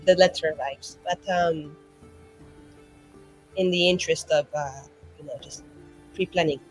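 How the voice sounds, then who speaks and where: A woman's voice: fairly low pitch, breathy edge, low-quality recording. Rambling woman at a tabletop game. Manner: rambling, many fillers and restarts. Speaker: player. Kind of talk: in-character roleplay chat.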